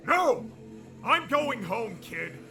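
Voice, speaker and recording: A man voicing a desperate middle-aged father who shouts and pleads frantically. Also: very high voice, very animated, very slow, over music, voice pushed up, exaggerated voice. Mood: frantic.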